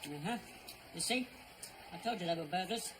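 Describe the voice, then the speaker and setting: An actor playing a grumpy, sarcastic husband who grumbles at the football on TV. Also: male voice, high-pitched, expressive, moderate pace. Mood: grumbling. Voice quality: grumbling, sarcastic, shouts at the telly. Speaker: neighbour watching football on TV. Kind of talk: sitcom acting. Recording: noisy broadcast sound.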